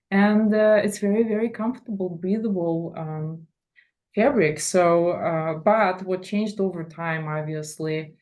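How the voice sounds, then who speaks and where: Lower-pitched middle-aged woman, casual interviewee. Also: darkish tone. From Latvia, former USSR. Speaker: fashion designer, interview guest.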